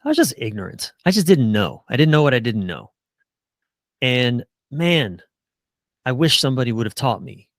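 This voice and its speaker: A man's voice: mid-range voice, very animated, moderate pace, dry recording, studio quality. A middle-aged man giving earnest, casual answers as a relationship coach in a conversational interview. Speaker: relationship coach, podcast guest.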